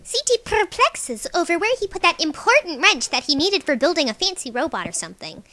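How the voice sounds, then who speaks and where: A woman's voice: very high-pitched, big pitch swings, a little fast, pushed and bright, exaggerated voice. A woman voicing a playful, stubborn young cat-like alien girl, pouty and insistent, in a character reel for a webcomic. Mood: playful storytelling.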